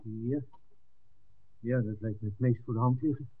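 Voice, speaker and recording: A man's voice: mid-range voice, fairly even intonation, normal speed, breathy edge, natural voice. An actor playing an anxious, impatient man searching for a missing woman in a 1960s radio drama. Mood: tense, curt. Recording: narrow, phone-like old radio recording.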